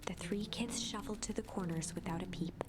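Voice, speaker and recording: A woman's voice: lowish voice, normal speed. A woman giving a dramatic reading of a story game's narration. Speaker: let's play host, narrator. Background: music.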